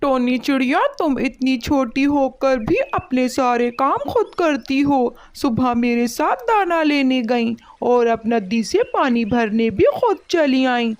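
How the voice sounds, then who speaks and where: Woman playing a high, complaining crow husband. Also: animated, slow pace, few pauses, no echo. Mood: grumbling. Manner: complaining, emphatic. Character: hardworking, fed-up male crow husband. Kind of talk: Hindi animated moral story dialogue.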